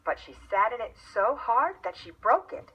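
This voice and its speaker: Female narrator for a children's story: animated, measured, slightly husky, on somewhat lo-fi audio. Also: fairly high pitch. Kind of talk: scripted fairy tale narration.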